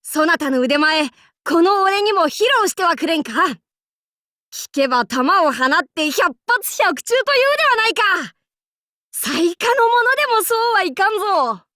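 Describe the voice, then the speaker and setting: A woman's voice: extremely high pitch, sing-song, a little slow, sharp tone, no room sound, clean studio sound, exaggerated voice. A woman voicing a bold, androgynous young demon-king warlord in an anime game, with boastful, archaic lordly speech and playful swagger. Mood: cheerful, boisterous. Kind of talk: anime game character voice lines.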